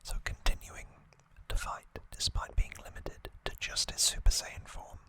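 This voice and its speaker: An ASMR host speaking in an unhurried, informal whisper, chatting through commentary close to the microphone. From United Kingdom. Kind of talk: whispered ASMR, relaxed show-and-tell commentary.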